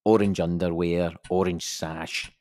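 An actor playing a dry police officer giving a measured, official but blunt account in an audio drama. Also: male voice, sits low, flat delivery, a little mellow, no room sound. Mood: indignant.

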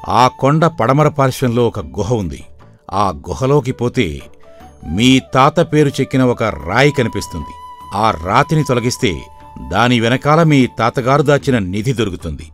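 A man playing a weary, worried but shrewd middle-aged village father in an animated moral story, speaking plainly and earnestly. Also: medium pitch, normal speed, close to an everyday voice. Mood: measured, persuasive. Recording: background music throughout.

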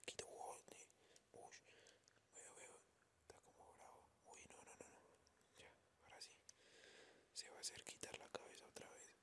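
A woman's voice: normal speed, long pauses. A woman with a Colombian accent giving casual, slangy, playful ASMR commentary on a video game in a whisper. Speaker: gaming video host.

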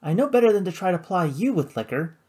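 A woman's voice: on the low side, big pitch swings, quick pace, warm tone, slightly rough, pitched above the performer's own voice. A woman voicing a playful, defiant young socialite sister in theatrical audiobook dialogue. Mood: scornful. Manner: teasing, theatrical.

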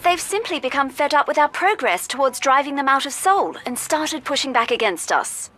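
A woman's voice: high-pitched, lively intonation, moderate pace, fairly bright tone, soft background music. A woman voicing a composed, caring mission controller in a video game, earnestly briefing an agent. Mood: concerned, instructing.

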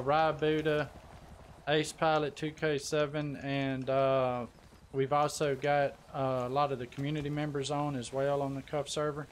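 A man's voice: a little high, a little hiss or hum. A man live streaming and talking casually through his gameplay with other players.

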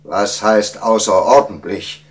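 A man's voice: fairly low pitch, normal speed, pitched above the performer's own voice, lightly acted. A man voicing a proud, impatient king in a storybook tale, imperious and emphatic. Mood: impatient, boastful. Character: proud, impatient Indian king, easily angered. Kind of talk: storybook character acting.

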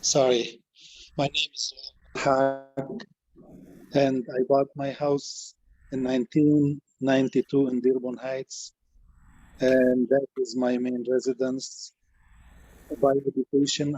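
A man giving a formal statement as a political candidate, with deliberate, halting phrasing. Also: medium pitch, mellow, no room sound, highs cut off. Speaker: city council candidate.

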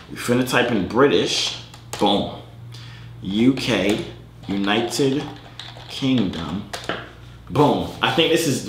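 Animated young man in an echoey room. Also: slight background noise. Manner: swings between a loud high-pitched mock British voice and a low casual drawl. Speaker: comedy video host. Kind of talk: comedic vlog with a put-on British accent. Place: bedroom studio, indoors.

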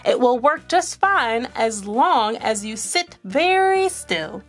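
Woman voicing a pushy rabbit salesman, high-pitched. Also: big pitch swings, takes it slowly, soft background music, exaggerated voice. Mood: sly, breezy. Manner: salesy, wheedling. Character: sly, pushy male rabbit salesman, smooth-talking trickster.

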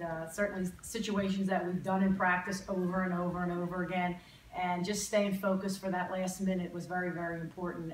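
Halting middle-aged woman coach, low and roomy. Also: a bit bright, a little hiss or hum. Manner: halting, many fillers and repeated words. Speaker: head coach. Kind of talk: postgame press conference. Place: press room in an athletics building. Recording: roomy, distant press-room audio.

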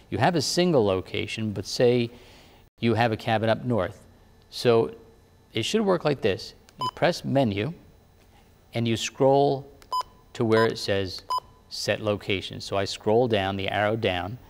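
A man's voice: mid-range voice, gravelly, big-room sound, a little hiss or hum. Patient TV weatherman explaining step by step. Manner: plain, patient instructive talk. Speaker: TV meteorologist. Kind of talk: step-by-step demonstration. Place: TV studio weather set.

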